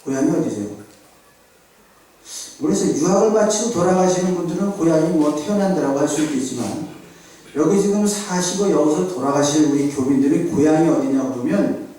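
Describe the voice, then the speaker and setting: A middle-aged male pastor from Seoul delivers a conversational sermon full of anecdotes and rhetorical questions. His voice sits slightly high but sounds mellow and soft-edged. It carries from a distance through a very echoey church hall. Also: brisk. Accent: Seoul Korean. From South Korea. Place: church sanctuary.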